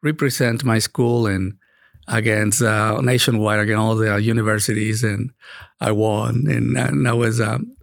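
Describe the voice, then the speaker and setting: Gravelly Mexican-accented man, halting. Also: fairly low pitch, fairly even intonation, dry recording, studio quality. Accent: Mexican Spanish. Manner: halting, many fillers and restarts. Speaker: parish choir singer, guest. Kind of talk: casual interview conversation.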